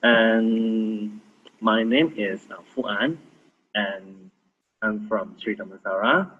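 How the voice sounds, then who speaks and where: A man in his thirties with a Malaysian accent, sharing his story as a volunteer in a halting way with many restarts. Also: on the low side, narrow, tinny audio, a little hiss or hum. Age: 30s. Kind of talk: casual testimony sharing.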